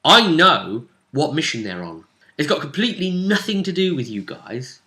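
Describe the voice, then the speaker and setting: A man's voice: on the high side, very animated, moderate pace, pushed and bright. A British man speaking as game master, casually recapping a tabletop role-playing session and dropping teasing hints.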